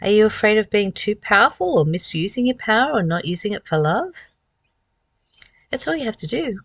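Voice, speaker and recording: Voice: medium pitch, big pitch swings, brisk, a bit bright, dry recording. A host reading aloud from a spiritual book and commenting on it, earnest and emphatic, with repeated phrases and rhetorical questions.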